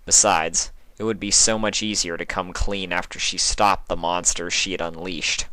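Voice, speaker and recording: A man's voice: deep voice, steady tone, normal speed, bright, forceful tone, no room sound. A man reading fan fiction aloud as dramatic scripted narration.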